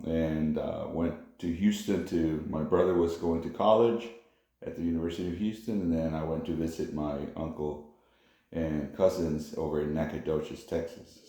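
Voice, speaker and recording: Casting: middle-aged Honduran-born man, low mellow voice, halting, filler-heavy, echoey room. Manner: unhurried, many fillers and restarts. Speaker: interviewee. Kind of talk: casual family interview.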